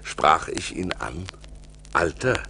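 An actor playing a seafarer who recounts his perilous adventures in a theatrical radio play style, moving between hushed and urgent. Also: low-pitched, big pitch swings, takes it slowly, vocal fry, a little hiss or hum, heavily acted. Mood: grave. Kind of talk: dramatic radio play narration. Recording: vinyl record transfer with music behind.

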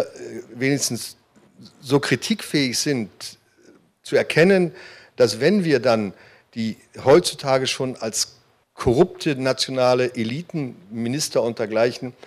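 A man speaking as a panelist at a conference, earnest, with many hesitation fillers, on a handheld mic in a hall. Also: mid-range voice. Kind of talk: panel discussion.

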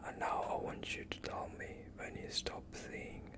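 A young man playing a gentle vampire doctor in a hushed, soothing ASMR roleplay, whispering reassurance in short phrases close to the microphone. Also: moderate pace, natural voice. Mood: calm, instructing. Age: young adult. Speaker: ASMR streamer.

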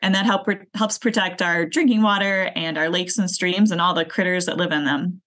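A woman's voice: lowish voice, normal speed, a bit bright. A woman from northern Wisconsin, a program manager appearing as a podcast guest, chatting easily and explaining things in a casual interview.

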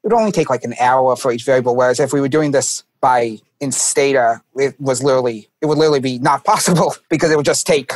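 A man's voice: medium pitch, quick pace, few pauses, dry recording. A man chatting casually about technical research work, informal and often saying "like". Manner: informal, peppers talk with "like". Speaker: researcher and programmer on a podcast.

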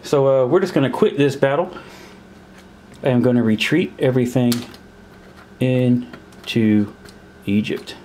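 A man's voice: mid-range voice, unhurried, pauses often, a little mellow, slight background noise. A man playing a war board game at a table, counting pieces aloud and puzzling over the rules as he goes. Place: indoors at a table.

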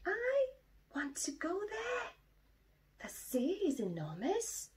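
A woman voicing an excited little boy bear cub who keeps asking if they are there yet, in an eager, pleading way. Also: very high voice, slow, pitched above the performer's own voice, full character voice. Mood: impatient.

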